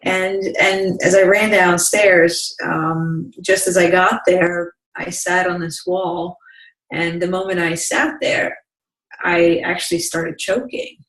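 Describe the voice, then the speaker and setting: A woman speaks in a measured, unhurried way and often restarts her sentences. Her voice sits on the low side. The sound is roomy and set back from the microphone. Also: recorded from a distance. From Bosnia. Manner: calm, measured storytelling with frequent restarts. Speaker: guest, motivational speaker. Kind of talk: personal storytelling in an interview.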